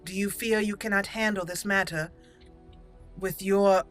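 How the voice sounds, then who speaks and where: A woman voicing a young female elf mage in roleplayed game dialogue, formal and deliberate, with pauses. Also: medium pitch, steady tone, soft background music, lightly acted. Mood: measured, questioning.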